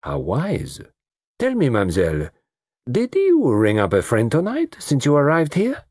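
A man voicing a gallant, shrewd middle-aged detective with a French accent, speaking in courteous, measured, formal phrases in an audiobook story. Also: mid-range voice, wildly swooping pitch, darkish tone, no echo, clean studio sound, pitched above the performer's own voice. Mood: probing.

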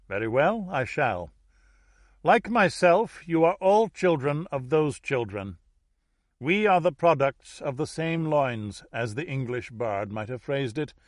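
A man's voice: medium pitch, expressive, no echo, clean studio sound, pitched above the performer's own voice, close to an everyday voice. A man playing a commanding, cultured Dutch heir. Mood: solemn, dramatic. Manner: formal, deliberate, self-assured. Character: commanding, cultured Dutch heir addressing his gathered relatives.

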